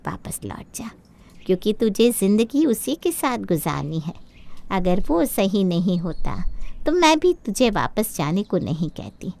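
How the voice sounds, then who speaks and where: A woman voicing a gentle middle-aged mother in a cartoon moral story, counselling softly and earnestly. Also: mid-range voice, vocal fry. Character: gentle, reasoning older mother advising her married daughter.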